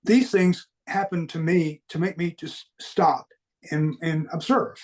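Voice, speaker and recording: A man with a mild Southern US accent, speaking earnestly and haltingly as he reflects on his own experiences in a conversational interview. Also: on the high side, a little mellow. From American Deep South. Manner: earnest, halting, repeats phrases for emphasis. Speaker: podcast guest recounting paranormal experiences.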